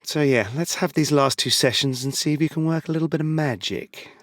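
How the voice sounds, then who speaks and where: A man's voice: fairly high pitch, a little fast, no echo, clean studio sound, natural voice. An actor playing a smug, greedy con man in an audio drama, glib and self-satisfied. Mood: smug, dismissive.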